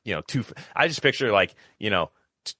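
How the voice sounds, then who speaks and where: A man's voice: on the low side, expressive, fast talker, sharp tone, dry recording, studio quality. A man talking as a podcast guest in casual, slangy, rambling conversation.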